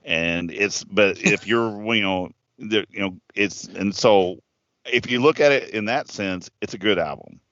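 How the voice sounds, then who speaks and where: A man's voice: on the low side, unhurried, fairly bright tone, no echo. A man in his fifties, a podcast co-host chatting casually in a rambling, profane way with lots of restarts. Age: 50s. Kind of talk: casual chat. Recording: dull lo-fi podcast audio.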